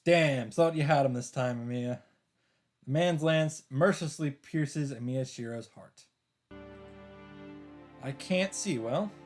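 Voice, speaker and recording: A man's voice: mid-range voice, slow, pauses often. A man reading visual novel narration aloud as a let's player, dropping in casual, wry asides. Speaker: video game let's player. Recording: music behind the voice.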